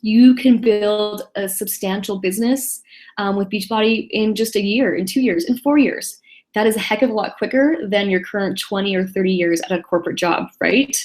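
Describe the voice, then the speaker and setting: A woman in her late thirties hosting a casual online webinar alone, chatty and self-deprecating, with quick laughs and apologies. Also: mid-range voice, a little mellow. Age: 30s. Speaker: webinar host. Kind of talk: casual solo webinar presentation.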